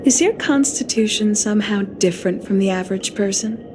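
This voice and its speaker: A woman playing a serene, gently imperious female cult master in a video game, speaking in a measured, formal way. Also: mid-range voice, background noise, lightly acted. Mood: probing. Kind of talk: dramatic video game voice acting. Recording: game dialogue with loud background music.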